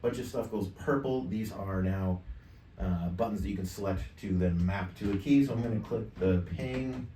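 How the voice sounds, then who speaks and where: A man in his fifties giving a casual software tutorial, thinking aloud in an offhand, mildly profane way. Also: lowish voice, normal speed. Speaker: tutorial presenter. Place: indoor home studio desk. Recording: roomy, distant mic, background noise.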